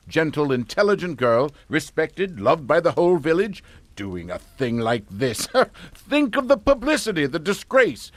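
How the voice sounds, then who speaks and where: A man's voice: a little high, no room sound. An actor playing a pompous, domineering middle-aged English father in a radio suspense drama, scolding and emphatic.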